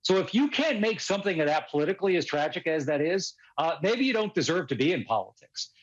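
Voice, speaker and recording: A man speaking as a political strategist over a dull, lo-fi remote feed. His voice runs slightly high. His delivery is forceful and emphatic. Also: big pitch swings, quick pace. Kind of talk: cable news panel commentary.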